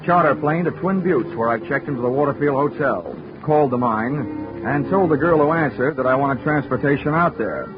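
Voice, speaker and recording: A man's voice: medium pitch, normal speed, natural voice. An actor playing a dry, wisecracking insurance investigator who narrates his own case, in 1940s radio acting style. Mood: wry narration. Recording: muffled old radio recording with music.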